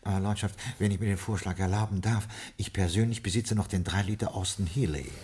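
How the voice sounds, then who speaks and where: A man's voice: lowish voice, fairly even intonation, fairly quick, darkish tone, husky. An actor playing a formal, dryly ironic butler in his late forties in a 1950s radio drama. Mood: deferential dry. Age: 40s.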